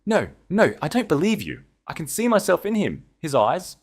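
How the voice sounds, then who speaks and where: A man's voice: sits high, sing-song, normal speed, husky, voice pushed up, heavily acted. Man doing a ragged, pleading broken duke. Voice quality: ragged, shaking voice.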